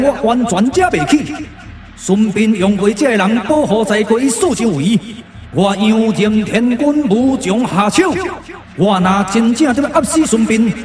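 Echoey, high-pitched scheming immortal villain. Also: male voice. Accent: Taiwanese. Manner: theatrical, declaiming, drawn-out phrases. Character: menacing immortal warlord villain, boastful, scheming, easily thwarted. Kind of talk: Taiwanese puppet-theatre radio drama acting. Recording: echoey reverb with music behind.

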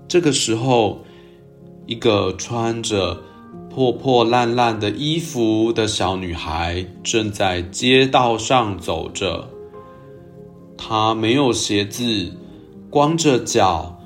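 A man hosting a storytelling show, narrating for young children in a gentle, expressive way. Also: mid-range voice. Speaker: children's storytelling podcast host.

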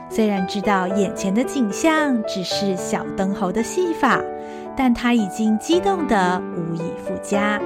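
A woman narrating a story in a gentle, expressive way. Her pitch travels widely and warmly across phrases. Clear instrumental music plays under her voice. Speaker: narrator and host.

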